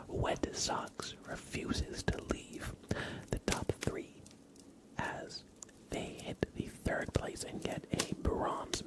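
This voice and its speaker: Guy whispering softly on an asmr stream, real slow and breathy, hushed host talk. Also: poor audio. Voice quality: breathy, mostly unvoiced whisper. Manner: hushed, unhurried, deliberate.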